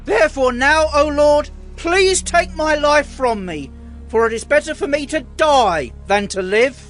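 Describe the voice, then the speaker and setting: Man playing a high-voiced pleading prophet. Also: lively intonation, fairly bright tone, faint music behind, heavily acted. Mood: bitter. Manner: slow, earnest pleading prayer. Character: Jonah, distressed angry male prophet. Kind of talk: audio drama acting.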